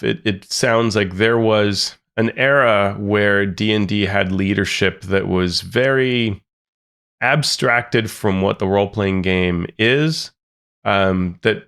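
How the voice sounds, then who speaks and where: A man with a lowish voice co-hosting a podcast. He talks in a quick, agreeable way and affirms his partner often. He laughs easily between his points. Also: gravelly, fairly bright tone, clean tone. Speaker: podcast co-host. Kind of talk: casual analytical chat.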